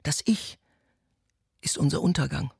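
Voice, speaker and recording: A woman's voice: low-pitched, measured pace, creaky, darkish tone, husky, no room sound, clean studio sound, exaggerated voice. An actress in a radio play playing a haughty, older aristocratic mother-in-law who speaks formally and imperiously, defending dynasty and tradition. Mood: scornful, imperious.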